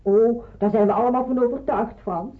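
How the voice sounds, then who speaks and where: An actress playing a kindly, encouraging aunt looking after young people in a radio drama. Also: female voice, on the high side, steady tone, moderate pace, close to an everyday voice. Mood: encouraging, cheerful. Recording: narrow, tinny old radio recording.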